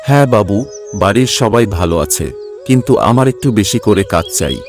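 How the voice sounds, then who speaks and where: A man's voice: lowish voice, expressive, a little fast, music in the background, natural voice. A man playing a humble, hardworking poor father in a cartoon story, speaking earnestly and reassuringly. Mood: earnest pleading. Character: hardworking, self-sacrificing poor labourer father, devoted and humble. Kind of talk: Bengali cartoon story voice acting.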